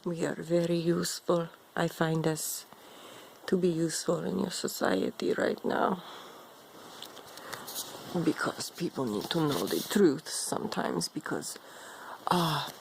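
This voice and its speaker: An ancient vampire woman, role-played by a woman, low and heavy with vocal fry. She is condescending and seductive, with menace under every unhurried phrase. There is faint noise behind her. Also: poor audio. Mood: smug, teasing. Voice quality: gravelly, low, creaky. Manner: slow, haughty, teasing, calls listener darling. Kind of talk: improvised in-character role play monologue to viewer.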